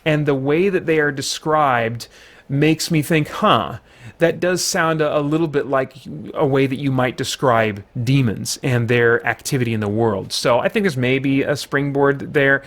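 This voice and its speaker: A man's voice: medium pitch, measured pace, fairly bright tone. A man giving an unscripted review to viewers as the host, conversational and often correcting himself as he goes. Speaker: film reviewer, channel host.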